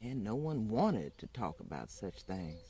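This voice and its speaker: A woman performs an outspoken, unapologetic housemaid in an old-time radio drama. The delivery is very slow and folksy, with pauses for effect. The pitch swings widely as the plainspoken storyteller makes each point. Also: big pitch swings, mellow, a little hiss or hum, exaggerated voice. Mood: reflective.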